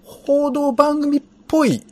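A man's voice: very high-pitched, steady tone, takes it slowly. A man co-hosting a radio show in casual, reflective chat, a little self-deprecating.

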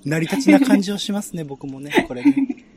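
A man hosting a relaxed chat, talking politely in a hesitant, roundabout way. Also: high-pitched, fairly quick, gravelly, crowd noise. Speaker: host.

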